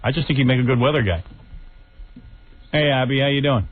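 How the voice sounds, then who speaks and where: A fast-talking male radio host, quick and teasing as he jokes with his callers. His voice is heard over a tinny, narrow broadcast line. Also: medium pitch. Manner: fast, teasing, joking talk. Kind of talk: morning radio banter with callers.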